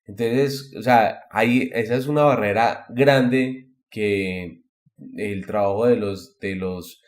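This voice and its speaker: A man with a Latin American Spanish accent in a casual podcast discussion, explaining things haltingly and repeating words as he goes. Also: on the low side. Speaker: podcast host.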